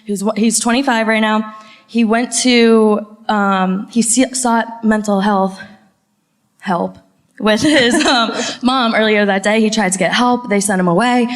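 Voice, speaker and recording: A woman's voice: medium pitch, steady tone, a little slow, big-room sound. A woman in her twenties from Massachusetts, an audience member nervously telling a story on stage. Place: theater stage before a live audience.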